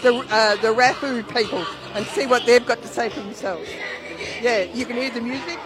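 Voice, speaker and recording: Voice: mid-range voice, normal speed, rough recording. A community radio presenter, doing a live outside broadcast and chatting easily with people. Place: outdoor street rally. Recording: crowd and music behind, outdoors.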